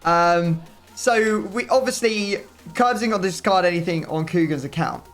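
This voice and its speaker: Hoarse man, excitable gaming host. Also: high-pitched, normal speed, faint music behind. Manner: excitable, groaning, laughing in disbelief. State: hoarse, voice strained. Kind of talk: casual gaming commentary.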